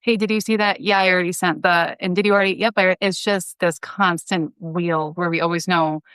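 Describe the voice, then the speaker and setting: Female interview guest, smooth clear voice on the lower side, casual, dry close sound. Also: steady tone, fairly quick, a bit bright, dry recording. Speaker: podcast guest, audio drama writer.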